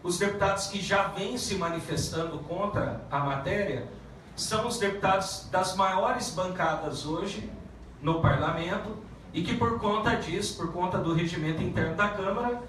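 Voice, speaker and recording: A man who is a federal deputy giving a halting public speech at a meeting, with many restarts and fillers. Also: high-pitched, fairly bright tone, a little hiss or hum. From Paraná, Brazil. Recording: echoey room from far off.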